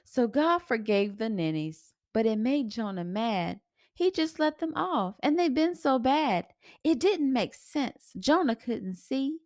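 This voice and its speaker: A woman reading a children's story aloud in an expressive, sing-song rhyming delivery. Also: a little high, takes it slowly, dry recording, clean studio sound.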